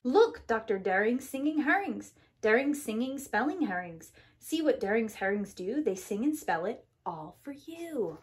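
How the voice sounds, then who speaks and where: A woman's voice: on the high side, sing-song, normal speed, few pauses, slight background noise. A woman preschool teacher reading a rhyming picture book aloud to young children in an emphatic, sing-song rhythm.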